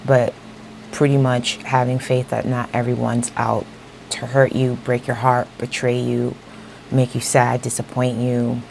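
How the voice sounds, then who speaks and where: A woman giving a casual tarot reading straight to her viewers, a little hoarse as she is losing her voice, often checking in with "okay?". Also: rumbling low, completely flat delivery, unhurried, a little mellow, slight background noise, rough recording. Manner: talks straight to viewers, repeats "okay?" and "you know". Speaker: tarot reader.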